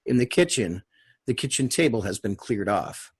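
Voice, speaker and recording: A man narrates a screenplay at a table read in clipped, scripted phrases. His voice is mid-pitched and husky, with lively swings in intonation. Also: normal speed. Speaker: stage-direction narrator and table read leader.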